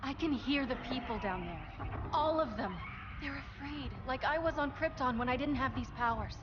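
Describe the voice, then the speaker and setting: High, earnest young superheroine. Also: female voice, lively intonation, moderate pace. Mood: troubled, hopeful. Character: idealistic, compassionate young alien superheroine. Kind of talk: dramatic video game cutscene acting. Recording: dull sound with loud ambient noise.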